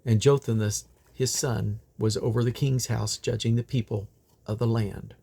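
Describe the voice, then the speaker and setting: A man with a creaky, gravelly voice reads the Bible aloud in a deliberate, solemn way, phrase by phrase. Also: lowish voice, steady tone, mellow. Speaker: Bible reader. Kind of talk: scripture reading aloud.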